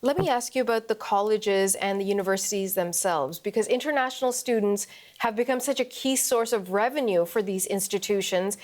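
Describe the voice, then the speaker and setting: A woman news interviewer putting measured, probing questions to a guest. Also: mid-range voice, darkish tone.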